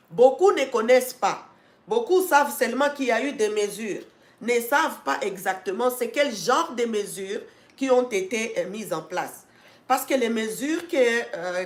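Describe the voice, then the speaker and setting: A woman with a cold hosting a live stream for her educational channel, talking to viewers with many fillers and restarts and turning emphatic as she urges them on. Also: fairly high pitch. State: congested with a cold. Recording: echoey room.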